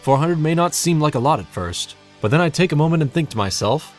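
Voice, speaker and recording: A man's voice: a little high, animated, fast, soft background music. A young man giving a casual but scripted channel update in a wry, self-deprecating, sweary way. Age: young adult. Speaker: hobby narrator and audio play director giving a channel update.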